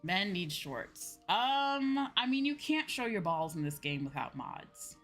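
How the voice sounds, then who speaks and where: Chatty woman streamer over clear background music. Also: mid-range voice, big pitch swings, measured pace, fairly bright tone. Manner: informal, chatty, peppered with "you know" and "like". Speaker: streamer playing an online game. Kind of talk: casual livestream chat, thinking aloud while playing.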